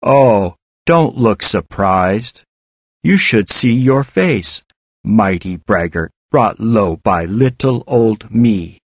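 A man voicing a malicious, taunting female robot in an audiobook, with a hard, artificial voice and drawn-out, mock-sweet delivery. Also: on the low side, animated, no echo, clean studio sound, full character voice. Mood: gloating. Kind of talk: audiobook character voice.

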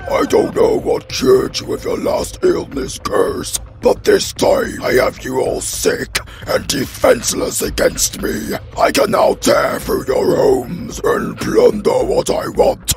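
Hungry demon plunderer, really gravelly strained rasp, slow taunting, music behind him. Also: male voice, extremely high pitch, heavily acted. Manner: taunting villainous monologue. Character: menacing, boastful, hungry male demon plunderer. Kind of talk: audio roleplay villain acting.

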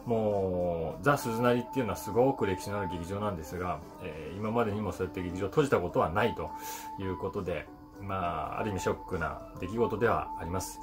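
A man, an actor, musing to camera in a relaxed, unhurried way with many fillers, recorded indoors on a close mic. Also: low-pitched, music in the background. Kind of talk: casual vlog talk to camera. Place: indoors in front of a brick backdrop.

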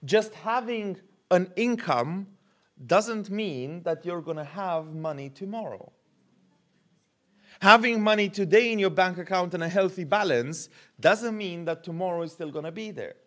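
A man in his thirties preaching a sermon at a podium mic in a church hall, animated and gesturing, often repeating words as he builds a point. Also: sits high, measured pace. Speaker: pastor. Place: church hall with curtained stage.

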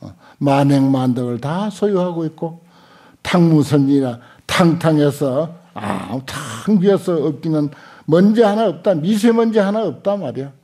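An older man giving a Buddhist scripture lecture, halting often with small interjections and repeating points for emphasis. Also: fairly high pitch, animated, dark tone. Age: older, 70s or above. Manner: unhurried, halting with frequent interjections, emphatic repetition. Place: indoor lecture hall with seated audience. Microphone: desk stand microphones.

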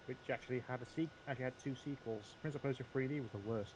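A man speaks quickly in a middling pitch. He sounds like a panelist offering casual opinions. The online call has clear noise on it. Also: low-quality recording. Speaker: talk show panelist.

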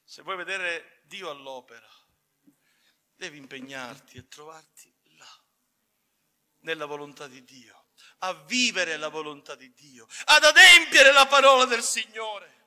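A man's voice: high voice, expressive. A man in his fifties preaching a sermon in an emphatic, fervent manner at a church pulpit microphone. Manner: emphatic, swinging between measured phrases and fervent shouts. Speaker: evangelical preacher. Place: church hall, indoors at a pulpit. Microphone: pulpit gooseneck microphone. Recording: reverberant hall.

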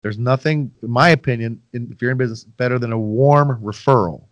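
A man's voice: mid-range voice, brisk, no room sound, muffled recording. A middle-aged man hosts a podcast from home over a video call, talking into a headset mic. He rambles and makes self-deprecating asides to his listeners. His voice sits mid-range and sounds close and dry. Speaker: podcast host. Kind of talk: casual interview chat. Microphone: headset with boom mic.

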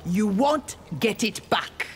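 A woman's voice: mid-range voice, expressive, takes it slowly. A woman playing a defiant, honorable female ninja warrior in a video game, speaking firmly and earnestly. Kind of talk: scripted video game dialogue. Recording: music behind the voice.

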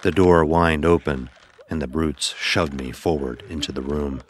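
A man narrating a dramatic first-person horror story in a grave, measured manner. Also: extremely low pitch.

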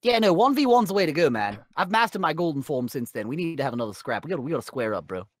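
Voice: mid-range voice, big pitch swings, very fast, no echo. A performer playing a vain, snide alien tyrant in a fan question show, with smug, sardonic, offhand delivery. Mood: casual, sardonic.

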